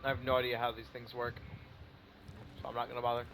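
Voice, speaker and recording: A young man commentates his own video game playthrough in a mid-pitched voice. He is casual and jokey, swears freely and reads game text aloud over clearly audible music. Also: monotone, fast talker, rough recording.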